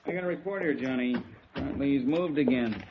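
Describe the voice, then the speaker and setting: A man plays a matter-of-fact city cop in an old radio crime drama. His voice is mid-pitched and plain. The recording is muffled, with slight noise. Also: fast talker, lightly acted. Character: plain-spoken male city police officer. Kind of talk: 1950s radio drama acting.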